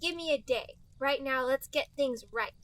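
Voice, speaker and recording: Woman playing very high-voiced upset cartoon hedgehog girl. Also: moderate pace, a bit bright, no room sound. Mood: flustered. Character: cartoon girl hedgehog Amy, distressed and tearful. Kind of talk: amateur dramatic reading of fan fiction.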